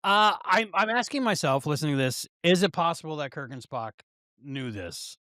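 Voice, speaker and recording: Bright, higher-voiced man on a podcast. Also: animated, moderate pace, dry recording, clean studio sound. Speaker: podcast co-host. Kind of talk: casual review discussion.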